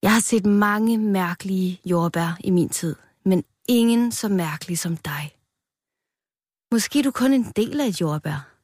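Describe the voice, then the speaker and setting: A woman's voice: medium pitch, darkish tone, slightly rough, no room sound, studio quality, natural voice. A woman voicing an old, curious strawberry elder in a children's story, friendly but blunt. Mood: amused.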